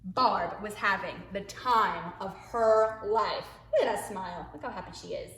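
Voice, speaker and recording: A woman, a preschool teacher, reading a picture book aloud to young children in an expressive way, with hushed asides. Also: mid-range voice, measured pace, slight background noise. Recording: echoey room.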